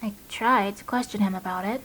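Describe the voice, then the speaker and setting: An actress playing an anxious, guarded young mother confiding haltingly and emotionally into an audio diary in a drama. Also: mid-range voice, steady tone, fairly bright tone, lightly acted. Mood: quiet, worried.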